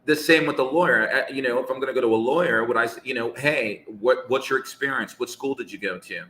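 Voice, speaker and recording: A man speaking in a casual live conversation, measured and emphatic, making points with rhetorical questions. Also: mid-range voice, a bit bright, roomy sound, slight background noise, low-quality recording.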